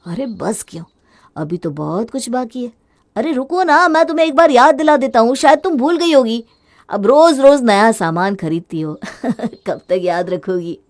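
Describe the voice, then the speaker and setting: A woman's voice: on the high side, animated, normal speed, natural voice. A woman voicing a young husband in a storybook drama, fed up with his wife's spending and mocking her sarcastically. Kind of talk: storybook voice acting.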